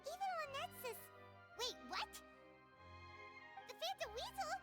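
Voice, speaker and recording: A woman voicing a tiny, excitable, naive fairy companion in an animated video game, with loud music behind. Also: extremely high pitch, unhurried, heavily acted. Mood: alarmed. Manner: speaks of self in third person.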